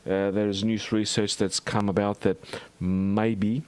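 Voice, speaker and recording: Rambling, lowish-voiced man with an Australian accent. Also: level tone, measured pace, darkish tone, clean tone, no echo. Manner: rambling and hesitant, full of ums, restarts and asides. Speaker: archaeology channel host. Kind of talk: casual vlog commentary reading out a study.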